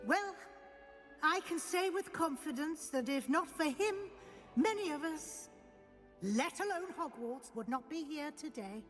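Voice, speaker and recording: An actress playing a dignified, kindly middle-aged witch professor, speaking in a measured, earnest, formal way in a video game. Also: high-pitched, animated, a bit bright. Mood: solemn, proud. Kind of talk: solemn dramatic speech, video game acting. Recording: music behind the voice.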